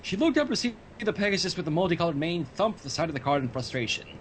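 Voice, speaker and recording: Lively high-pitched man reading a story. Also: quick pace, a little hiss or hum. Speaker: fan fiction reader on a livestream. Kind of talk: storybook narration with casual asides.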